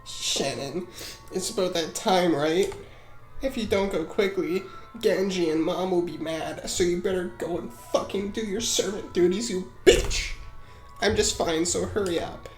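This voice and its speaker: A woman's voice: fairly low pitch, measured pace, a little mellow, natural voice. A woman voicing a foul-mouthed, insecure rich teenage girl in a whiny, blunt, self-pitying manner. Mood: gruff, dismissive. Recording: roomy echo, music underneath.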